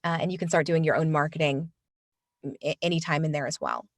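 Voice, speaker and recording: A woman's voice: sits low, a little fast, dry recording. A woman who coaches voiceover artists, talking earnestly in a casual interview and often restarting her sentences. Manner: earnest, many restarts and fillers. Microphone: studio microphone.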